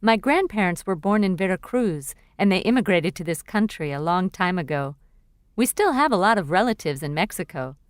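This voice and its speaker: An actress playing a cheerful young female university student in a scripted textbook dialogue, speaking clearly and expressively. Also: fairly low pitch, expressive, normal speed, dry recording, studio quality, close to an everyday voice. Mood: chatty. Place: recording studio.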